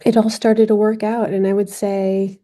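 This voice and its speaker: A woman in her forties, a film director guest, speaking reflectively with measured pauses in an interview. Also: medium pitch, flat delivery, mellow. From France. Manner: measured, reflective, frequent ums. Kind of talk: interview conversation.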